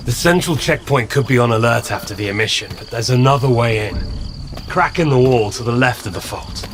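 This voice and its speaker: A man acting a gruff, suspicious, hot-tempered smuggler guide in a video game, blunt and needling in his talk. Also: medium pitch, a little fast, close to an everyday voice. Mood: wry, businesslike. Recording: clear background noise, night insects.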